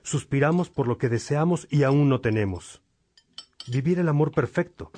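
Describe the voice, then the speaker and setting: A man playing a gentle, devout monastic father in a radio drama, speaking in a fraternal, reflective way. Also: medium pitch, expressive, moderate pace, close to an everyday voice. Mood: devout, earnest.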